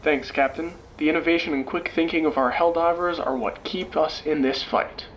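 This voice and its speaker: A man's voice: a little high, fairly even intonation, normal speed, a bit bright, slight background noise, lightly acted, low-quality recording. A man playing an earnest war correspondent giving dramatic field reports in a sci-fi radio play. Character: earnest, gritty male war correspondent at frozen front.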